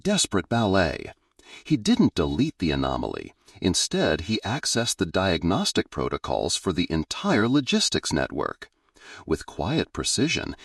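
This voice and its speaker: Male narrator for sci-fi audiobook: low, gravelly, measured and dramatic. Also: dry recording, studio quality.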